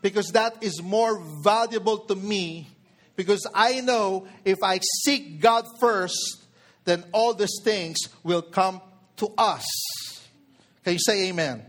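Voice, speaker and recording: Preacher, male, forties, Filipino accent. High voice, emphatic sermon delivery, addresses listeners directly. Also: slow. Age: 40s. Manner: code-switches between English and Tagalog; emphatic, with rhetorical questions to listeners.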